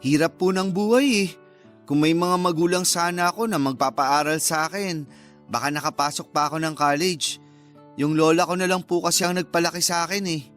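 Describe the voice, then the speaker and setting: A male actor plays a working-class Filipino youth of nineteen in a radio drama. His voice is high for a man. He speaks politely and modestly, with friendly eagerness, over faint music. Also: steady tone, fairly quick, a bit bright, natural voice. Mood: humble. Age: young adult. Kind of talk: Tagalog radio drama acting.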